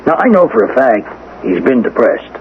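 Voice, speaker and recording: An actor playing a shrewd, suspicious police lieutenant in a radio drama, needling with drawn-out, insinuating questions. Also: male voice, mid-range voice, very animated. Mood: wry, probing. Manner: drawling pauses, insinuating, folksy rhetorical questions. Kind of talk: 1970s radio drama acting. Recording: tinny vintage radio broadcast, faint noise.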